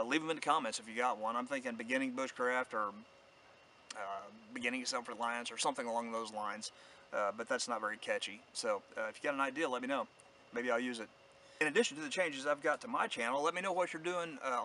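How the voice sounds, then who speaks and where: Guy in his 50s chatting to camera out in the woods, bright punchy voice, down to earth, some outdoor noise. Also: mid-range voice, rough recording. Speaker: outdoor channel host. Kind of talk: casual vlog talk to camera. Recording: clear outdoor ambient noise.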